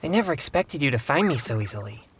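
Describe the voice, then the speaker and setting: A man voicing a reserved teenage boy exorcist in a video game, earnest, measured and formal in manner. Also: mid-range voice, big pitch swings, fairly bright tone, slight background noise. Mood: earnest, calm.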